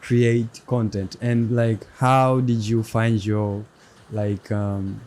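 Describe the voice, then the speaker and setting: A young man hosting a casual interview show, speaking haltingly and in a friendly way with many pauses. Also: fairly low pitch, little pitch movement, a little mellow. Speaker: podcast host. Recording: faint background noise.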